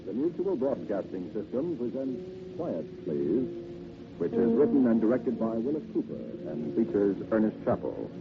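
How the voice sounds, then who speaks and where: A man's voice: lowish voice, big pitch swings, normal speed, over music. A man announcing a radio program in a formal 1940s broadcast style, on a tinny old radio broadcast. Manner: formal, declaiming.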